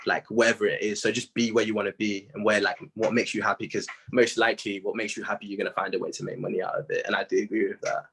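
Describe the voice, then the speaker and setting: A man's voice: lowish voice, level tone, fast, vocal fry, few pauses, a bit bright, husky. A young man chatting casually in an interview, using informal, slangy speech as a music artist. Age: young adult. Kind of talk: casual chat.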